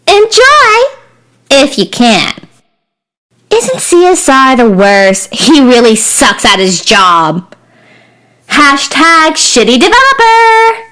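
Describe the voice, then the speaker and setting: A woman's voice: sits high, huge pitch swings, slow, long pauses, sharp tone, pitched above the performer's own voice, heavily acted. A woman voicing a bratty, foul-mouthed, sneering game mascot who scolds and mocks. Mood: mocking.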